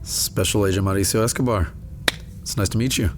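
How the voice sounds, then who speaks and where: Actor as a low-voiced, earnest special agent. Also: male voice, fairly quick, over music, lightly acted. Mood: polite. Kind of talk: dramatic audio drama acting.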